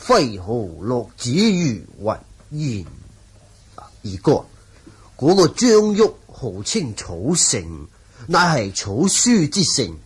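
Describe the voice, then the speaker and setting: Slow, husky, excitable Cantonese scholar. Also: male voice, medium pitch, full character voice. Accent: Hong Kong Cantonese. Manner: theatrical, savouring recitation. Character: bookish, excitable calligraphy-obsessed manor lord. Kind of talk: 1980 Cantonese radio drama acting.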